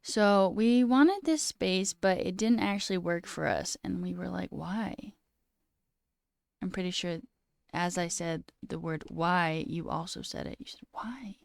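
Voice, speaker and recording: Young woman, slight sore throat, smooth clear voice, dry close sound, casual live coding chat. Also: on the low side, a little slow, dry recording. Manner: reads chat aloud, mutters and swears at buggy code. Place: small room indoors.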